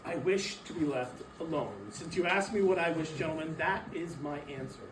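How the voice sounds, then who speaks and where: A bitter, miserly old man acted by a man on stage with a slightly high voice, curt and scornful in a dramatic Victorian reading. He is picked up from a distance in an echoey room. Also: moderate pace, background noise, natural voice. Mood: cold, dismissive. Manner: snapping, dismissive, emphatic.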